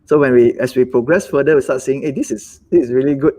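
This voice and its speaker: Husky Malaysian-accented businessman. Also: medium pitch, dark tone. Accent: Malaysian English. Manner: halting, repeats words, acts out quotes. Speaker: business owner guest. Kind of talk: live webinar conversation.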